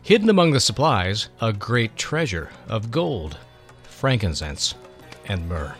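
A man's voice: fairly low pitch, sing-song, measured pace, a bit bright, soft background music. A man narrating a scripted story in a dramatic reading style.

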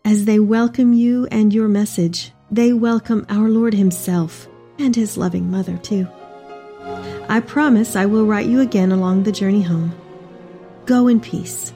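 Elderly devout mother played by a woman for dramatic letter readings: loving, earnest, measured, warm dark tone. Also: mid-range voice, faint music behind, close to an everyday voice. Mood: gentle farewell. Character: elderly Mary, tender devout mother writing to her son.

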